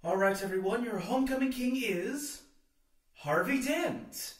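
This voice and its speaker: A man's voice: extremely high pitch, animated, unhurried, very noisy. Echoey, distant school dance announcer acted by a man. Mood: announcing. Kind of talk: public announcement in audio drama.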